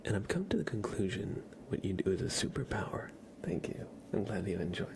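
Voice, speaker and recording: A man hosts an ASMR livestream in a hushed, often whispered voice. His tone sits low with a gravelly crackle, and he talks to chat in a casual, unhurried way. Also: big pitch swings. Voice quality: whispery, breathy soft voice. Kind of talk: ASMR, chatting softly with live chat.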